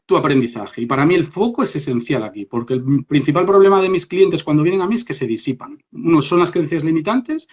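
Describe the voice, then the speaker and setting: A man from Spain with a Spanish accent and a fairly high voice, leading a live online workshop as an English coach. He talks in a lively, direct way, checking in with his listeners often. The audio is dull and lo-fi, like a livestream. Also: a little fast, hardly any pauses, slightly rough. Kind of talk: informal live workshop talk. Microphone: phone or laptop mic on a live stream.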